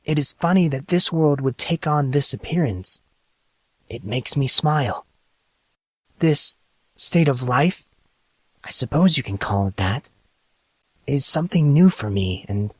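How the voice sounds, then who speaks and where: A man voicing a calm, grave, otherworldly teenage boy in an audiobook, with a measured and stern manner. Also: mid-range voice, no room sound, voice pushed up. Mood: wistful. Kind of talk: audiobook character acting.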